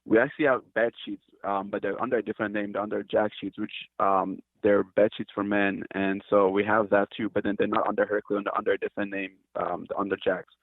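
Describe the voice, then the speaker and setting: A man from Cameroon speaks in a low, fairly monotone voice, stopping and restarting as he searches for words. He comes through a thin, tinny phone line with a dry, close sound. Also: fast talker, hardly any pauses, no room sound. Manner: hesitant, restarts often, earnest. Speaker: company founder, guest. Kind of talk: casual interview talk.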